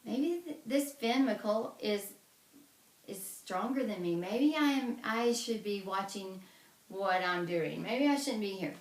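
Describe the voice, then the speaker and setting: A woman's voice: mid-range voice, slow, reverberant, distant mic, natural voice. A woman voicing a boastful, gluttonous giant man in a folk tale, with a blustering delivery. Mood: uneasy.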